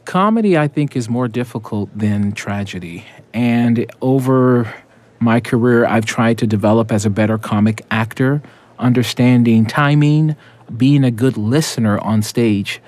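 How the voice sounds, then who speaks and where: A man's voice: medium pitch, unhurried, a little mellow. A man, an opera tenor, giving reflective interview answers with small restarts.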